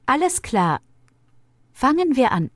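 Clear woman reading a language lesson. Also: sits high, sing-song, no room sound. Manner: measured, instructive. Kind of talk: clear scripted lesson delivery.

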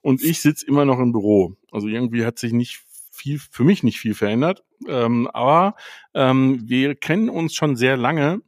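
A man hosting a relaxed podcast conversation, chatty and teasing with his guests. His voice sits in a middle register and is recorded close and dry, with no room sound at all. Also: mid-range voice, normal speed, dry recording. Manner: chatty, teasing, hesitant starts. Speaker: podcast host. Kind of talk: casual podcast chat.

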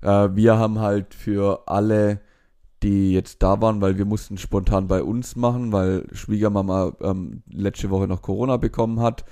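A man co-hosting a casual chat, relaxed and loose, leading the conversation with light swearing. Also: lowish voice, normal speed, clean tone.

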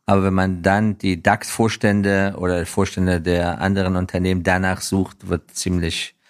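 This is a man from Afghanistan with a low voice, answering as a guest in an interview. He talks in an earnest, reflective way. His sentences halt and restart as he searches for the next phrase. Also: little pitch movement, moderate pace. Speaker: interview guest, business professional.

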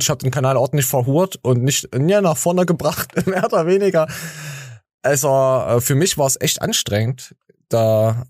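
Male podcast host, mid-pitched voice, teasing and jokey, halting delivery, dry close mic. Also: darkish tone, dry recording. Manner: joking, teasing, full of fillers and restarts. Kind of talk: casual podcast chat.

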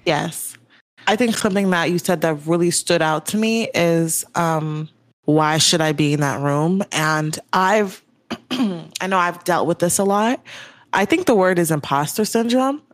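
A woman's voice: fairly low pitch, measured pace, dry recording. A young woman hosting a podcast interview, talking in a casual, chatty way full of "like" and "you know". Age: young adult. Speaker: podcast host.

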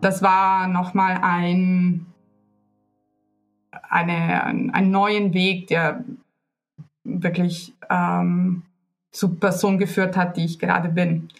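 Woman, talks slow, lots of umms, guest on a chat podcast. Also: fairly low pitch, level tone, soft background music. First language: Italian. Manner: hesitant, searching for words with many fillers. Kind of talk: casual conversation.

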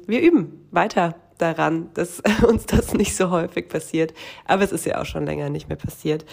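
Low-voiced woman hosting a podcast. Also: vocal fry, darkish tone. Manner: chatty, many fillers. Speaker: podcast co-host.

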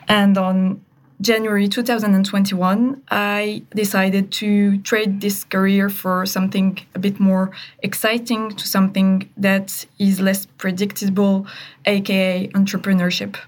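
Woman, startup CEO, flat even delivery, composed podcast guest. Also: medium pitch. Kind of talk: podcast self-introduction.

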